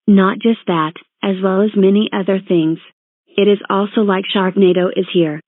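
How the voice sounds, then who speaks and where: A woman reading a scripted emergency broadcast announcement in a formal, measured, synthetic-sounding way. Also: mid-range voice, steady tone, dry recording. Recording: narrow tinny phone-like band.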